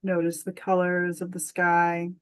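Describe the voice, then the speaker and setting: Meditation guide: woman, lowish voice, very slow delivery, gentle and soothing, generous pauses. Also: monotone, clear, resonant tone.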